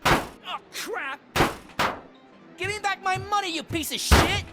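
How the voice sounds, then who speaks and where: Angry young male punk for a game: extremely high, shrill, yelling, loud music over him. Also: takes it slowly. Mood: furious. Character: furious foul-mouthed young arcade punk, shrill and agitated.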